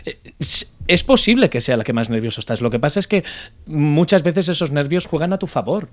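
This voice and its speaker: A man's voice: on the high side, lively intonation, a little fast. Thoughtful man from Barcelona. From Barcelona, Spain. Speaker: musical theatre actor. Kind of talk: interview guest talk.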